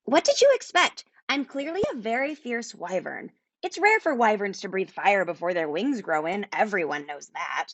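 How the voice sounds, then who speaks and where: A woman's voice: mid-range voice, expressive, moderate pace, few pauses, a bit bright. A woman voicing a tiny, pompous male wyvern familiar in a children's fantasy audiobook. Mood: haughty. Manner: self-important, pompous.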